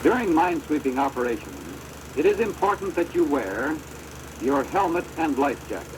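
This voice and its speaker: An American man giving formal, measured instructional narration for a training film, on a deteriorated old projector soundtrack. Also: on the high side, animated, noisy background.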